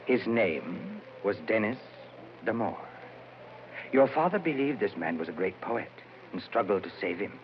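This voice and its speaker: An actor playing an eerie, sorrowful dead madman poet with a slow, portentous, haunting delivery in old-time radio horror style. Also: fairly low pitch, lively intonation. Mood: solemn, sorrowful. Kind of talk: 1940s radio horror acting. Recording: muffled, hissy old radio recording.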